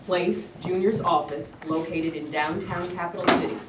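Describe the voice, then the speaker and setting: A woman narrates stage directions in a matter-of-fact way. Her voice sits a little low. It sounds distant and echoey, with faint room noise. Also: steady tone, normal speed. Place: indoor room at a live staged reading.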